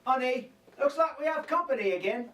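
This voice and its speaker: Distant, roomy comic radio play: henpecked Cockney husband, grumbling. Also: male voice, very high voice, expressive, a little fast, fairly bright tone.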